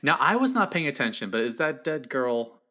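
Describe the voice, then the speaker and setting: A man with a bright, forward voice at a middle pitch discusses a film casually. He speaks haltingly, feeling for his words, with an agreeable manner. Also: sing-song. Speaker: podcast co-discussant.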